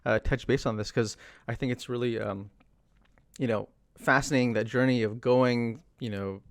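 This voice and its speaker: A young man hosting an interview from a home studio, speaking through a condenser mic in a measured way with frequent "you know" fillers. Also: mid-range voice, fairly even intonation, dry recording. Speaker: podcast host. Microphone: studio condenser mic with headphones.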